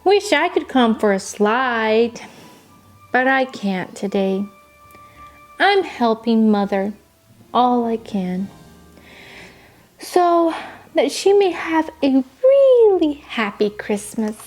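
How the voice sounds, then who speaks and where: A woman plays a sweet, dutiful little storybook girl with a wistful way of talking. The voice is high and unhurried, with music behind it. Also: very animated, darkish tone, heavily acted.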